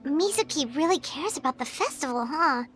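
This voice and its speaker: A woman voicing a tiny, chirpy, excitable fairy companion girl with a squeaky voice in a video game. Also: moderate pace, soft background music. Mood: curious, chirpy.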